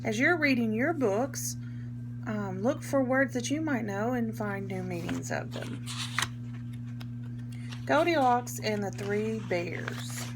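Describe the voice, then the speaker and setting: A woman reading a picture book aloud to young children like a teacher, expressively, pausing to explain words in simple terms. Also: a little high, background noise.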